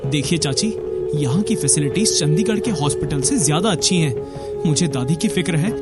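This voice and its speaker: Audio drama role: young man, earnest and defiant, high for a male, played by an actor, clear music bed. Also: expressive, normal speed, close to an everyday voice. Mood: firm, irritated. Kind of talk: Hindi audio drama acting.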